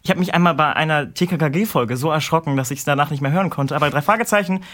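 Higher-voiced young man, halting and informal. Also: fairly bright tone. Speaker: interview guest, musician. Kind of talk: casual interview chat.

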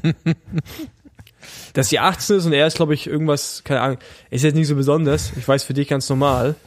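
A cyclist from Rostock, joking around on a podcast with quick interjections like "hey" and "boy". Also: on the high side, steady tone, no echo. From Rostock, northeastern Germany. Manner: quick, joking, says "ey" and "Jung". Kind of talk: casual podcast chat.

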